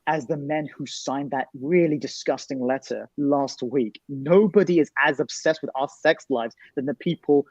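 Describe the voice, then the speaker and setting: A man's voice: fairly high pitch, moderate pace, few pauses, darkish tone, dry recording. A man making long, articulate, wry points in a panel discussion. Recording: dry, muffled lo-fi sound.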